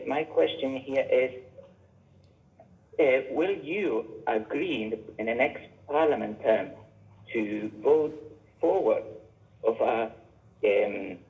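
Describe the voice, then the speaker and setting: A man from Chile speaking as an audience member, putting an earnest, halting question to a televised debate. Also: medium pitch. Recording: muffled phone-like line.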